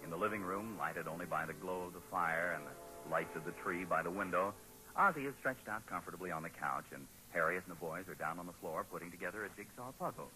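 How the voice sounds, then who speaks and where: A man's voice: low voice, fast, bright, forceful tone, low-quality recording. A man with an American accent, announcing and narrating a scripted radio show in the style of the 1940s. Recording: vintage 1940s radio, music underneath.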